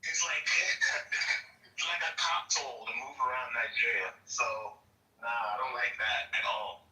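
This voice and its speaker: A man's voice: mid-range voice, steady tone, a little slow, pushed and bright, recorded from a distance. A man in casual chat, laid-back, often repeating himself and laughing between lines. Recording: roomy echo, off the mic.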